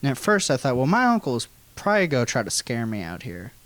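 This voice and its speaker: An American man telling a funny personal story in an animated, folksy way with some swearing. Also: medium pitch, fast talker, no echo. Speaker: guest storyteller.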